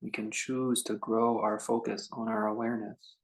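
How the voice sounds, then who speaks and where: A man leading a guided meditation as a teacher, speaking in a contemplative, unhurried way with long pauses between short phrases. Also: fairly low pitch, level tone, rough recording.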